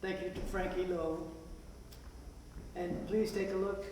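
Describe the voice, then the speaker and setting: A man's voice: sits high, level tone, a little slow. An older man giving an informal, humorous introductory speech to an audience as a playwright. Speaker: playwright introducing his play. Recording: echoey hall from far off, hiss.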